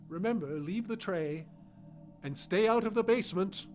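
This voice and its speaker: An actor playing a secretive, eccentric old scientist in an audio drama, giving clipped, imperious orders and muttering to himself. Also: male voice, sits high, normal speed, soft background music, lightly acted. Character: eccentric old male scientist, secretive, stern, meat-loving. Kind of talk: live audio drama acting.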